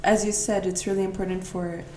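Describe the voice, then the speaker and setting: Flat gravelly woman from Portugal, distant. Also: medium pitch, a little fast. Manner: reflective, thinks aloud with fillers. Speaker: podcast host. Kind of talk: casual interview conversation. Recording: roomy, distant, noisy recording.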